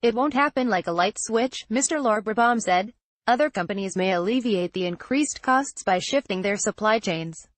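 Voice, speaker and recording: A woman reads scripted financial news in a formal, measured way. Her voice sits at a middle pitch. The sound is very dry, with no room around it. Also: lively intonation, no room sound. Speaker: narrator reading a financial news article.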